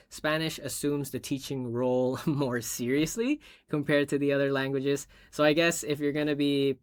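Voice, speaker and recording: Brisk man reading scores. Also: a little high, a bit bright, no echo. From Hong Kong. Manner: reads numbers off quickly, chatty asides. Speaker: tech video host. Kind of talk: casual commentary on chart results.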